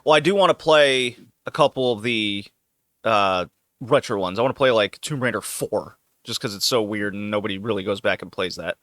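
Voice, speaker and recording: Bright-voiced joking podcast host. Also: male voice, on the low side, moderate pace, no room sound, clean studio sound. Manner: loose, joking, laughs often. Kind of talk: casual chat.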